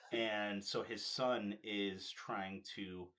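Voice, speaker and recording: A man's voice: on the low side, fairly even intonation, drawn-out words, fairly bright tone. A man chatting casually about films and comics, explaining things in a loose, step-by-step storytelling way.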